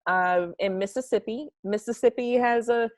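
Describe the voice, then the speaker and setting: A woman's voice: on the high side, moderate pace, no room sound, studio quality. A young woman from the United States, the guest of an interview, talking eagerly and at length from her home garage, with fillers as she goes. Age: young adult. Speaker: interview guest, marketing strategist. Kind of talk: casual interview over a call.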